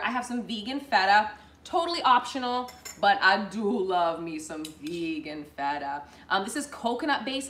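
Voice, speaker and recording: A woman's voice: medium pitch, noisy background. A young woman hosting a live cooking show from her home kitchen, chatty and bubbly, talking to viewers as she assembles a dish. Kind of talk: live cooking demo, casual chat. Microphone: fixed camera at counter distance. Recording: echoey kitchen room.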